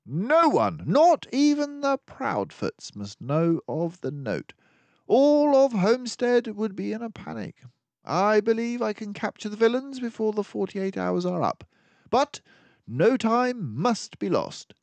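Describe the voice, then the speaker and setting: A man voicing a brilliant, excitable, commanding mouse detective in a children's storybook, speaking in an emphatic, theatrical way. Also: high-pitched, unhurried, no echo, pitched above the performer's own voice, full character voice. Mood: triumphant, brisk. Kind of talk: children's storybook read-aloud.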